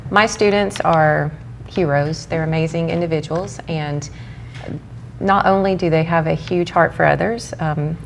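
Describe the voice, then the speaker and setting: A woman's voice: sits low, fairly even intonation, measured pace, vocal fry. A woman in her forties, a program director from Mexico, answering interview questions earnestly and enthusiastically. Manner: earnest, enthusiastic, with filler ums. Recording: faint background noise.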